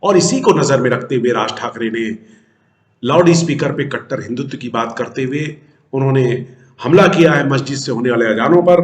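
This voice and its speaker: An echoing, roomy recording carries a man's medium-pitched voice. He speaks as a news host giving political commentary. His delivery is emphatic, built on rhetorical questions that he answers himself.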